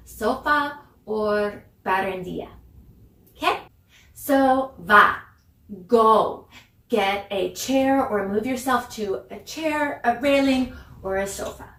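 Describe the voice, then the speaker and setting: Slow-paced woman dance teacher, echoey room. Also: medium pitch, a bit bright. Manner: encouraging, deliberate, demonstrative; mixes English with simple Spanish phrases. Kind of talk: instructional dance lesson for children. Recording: echoey room, distant microphone.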